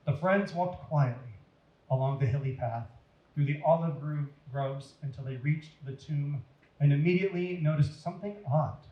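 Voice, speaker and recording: American male children's storyteller, fairly high voice, expressive and simple delivery, noticeably echoey room. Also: normal speed, muffled recording.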